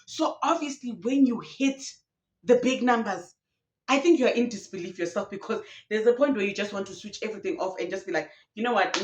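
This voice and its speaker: A woman's voice: medium pitch, echoey room. A young woman YouTuber, ranting passionately in casual commentary, recorded alone in a room. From South Africa. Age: young adult. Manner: passionate, ranting, mixing in local slang.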